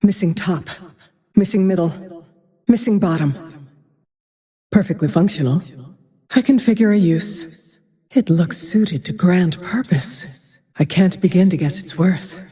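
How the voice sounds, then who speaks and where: An actress playing a cold, bitter, vengeful female archer in a video game, breathy and husky, with a grim, disdainful, deliberate delivery. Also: fairly low pitch, dark tone. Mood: cool, reserved. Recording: long cavernous reverb.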